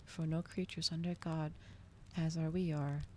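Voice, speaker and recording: A woman doing a soft, whispered ASMR reading as a streamer, slow and breathy, in a hushed manner. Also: sits low, flat delivery, a little hiss or hum. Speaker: streamer host reading aloud.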